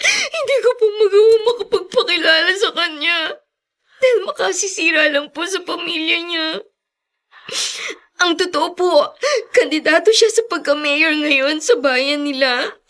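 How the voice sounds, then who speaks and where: An actress playing a sorrowful, apologetic young daughter in a radio drama, speaking in a tearful, pleading way. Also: female voice, extremely high pitch, expressive, a little slow, fairly bright tone, full character voice. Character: sorrowful, apologetic young daughter longing for her birth mother. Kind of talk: Tagalog radio drama acting.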